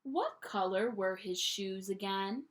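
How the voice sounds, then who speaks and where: A young woman teacher reading a picture book aloud to children at home, in an animated storytime voice that invites them to join in. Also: mid-range voice, slow. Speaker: primary school teacher.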